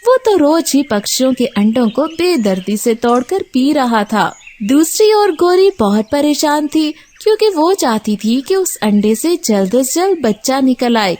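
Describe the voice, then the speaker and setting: A woman's voice: high voice, darkish tone. A woman narrating a scripted children's story.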